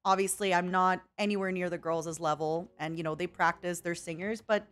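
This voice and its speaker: A woman's voice: fairly low pitch, steady tone, fairly quick, a bit bright. A young woman streaming a casual chat with viewers, rambling and reading out chat messages. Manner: chatty, rambling, reads chat aloud.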